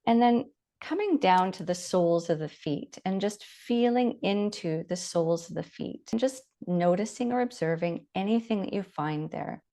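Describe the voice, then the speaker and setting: A woman leading a guided body scan meditation, giving gentle, unhurried instructions with long pauses. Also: fairly low pitch.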